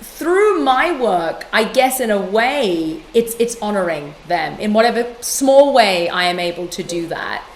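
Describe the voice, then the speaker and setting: A middle-aged woman from London, speaking as an interview guest in a passionate, emphatic way with quick restarts. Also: mid-range voice, sing-song, reverberant. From London, England. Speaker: guest author and yoga teacher. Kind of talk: conversational interview.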